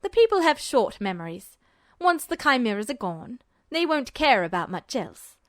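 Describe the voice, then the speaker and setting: A woman playing a calm, polite, calculating male army officer with quiet menace in a story reading. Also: a little high, moderate pace, dry recording, studio quality. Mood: cool menace.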